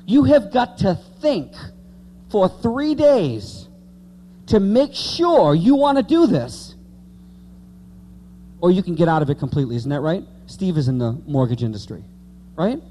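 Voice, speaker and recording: A man's voice: high-pitched, sing-song, unhurried, long pauses, dark tone, slight background noise. A man in his late thirties preaching an informal evangelical sermon, repeating key words for emphasis and speaking conversationally to the congregation. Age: late 30s.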